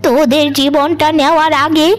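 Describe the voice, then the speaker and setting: Woman voicing a high-pitched old witch granny. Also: level tone, normal speed, faint music behind, close to an everyday voice. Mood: angry, cursing. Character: old forest witch granny, stern but rewarding. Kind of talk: cartoon moral fairy tale acting.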